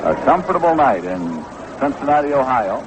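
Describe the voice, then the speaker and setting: A man's voice: medium pitch, big pitch swings, brisk. Noisy vintage radio baseball announcer, American man. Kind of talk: 1960s radio sports commentary.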